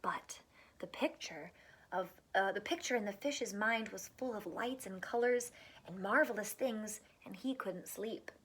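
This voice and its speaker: A woman teacher reading a storybook aloud to children, expressive, with dramatic pauses and emphasis. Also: mid-range voice, breathy edge.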